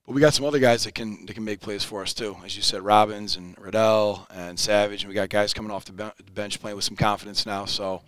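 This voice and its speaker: A man's voice: lowish voice, fairly even intonation, quick pace, hardly any pauses, a bit bright, no echo. Man, 40s, basketball coach, post-game interview, upbeat, repeats words, headset mic, dry close sound. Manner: upbeat, plain-spoken, often repeats words as he restarts. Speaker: high school basketball head coach. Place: indoor studio set in front of a backdrop.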